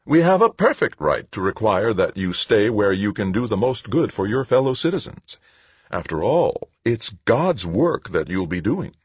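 A man's voice: fairly high pitch, wildly swooping pitch, normal speed, hardly any pauses, darkish tone, breathy edge, no echo, voice pushed up, full character voice. A man voicing a falsely cheerful, pious middle-aged woman bureaucrat in an audiobook, with a condescending, sing-song schoolteacher manner. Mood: hardened scolding. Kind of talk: audiobook character dialogue.

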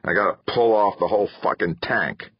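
A vicious male space scavenger acted by an audiobook narrator growls and taunts. The voice is low and husky, recorded very dry. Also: brisk, dry recording, dull audio. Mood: growling, frustrated.

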